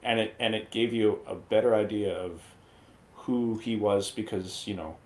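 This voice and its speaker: A man's voice: on the low side, normal speed, a little mellow. A man on a radio movie review panel, chatting casually and giving opinions in a rambling, profane, conversational way. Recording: roomy, echoey sound.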